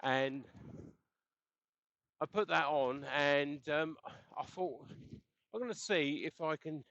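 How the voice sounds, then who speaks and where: Man, slightly high voice, chatty cyclist talking through a dull headset mic on the road. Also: takes it slowly, fairly bright tone, no echo. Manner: rambling, chatty, chuckles often. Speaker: cycling vlogger. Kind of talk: casual chat while riding. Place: outdoors on a bike on the road. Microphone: helmet intercom headset mic.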